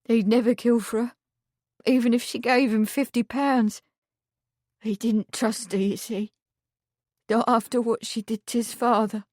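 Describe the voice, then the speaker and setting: A woman's voice: a little high, fairly even intonation, measured pace, pauses often, a little mellow, no echo, studio quality. A woman voicing a frightened young housemaid who talks in rustic dialect and pleads through tears. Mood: bitter. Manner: rustic dialect, sobbing pleas.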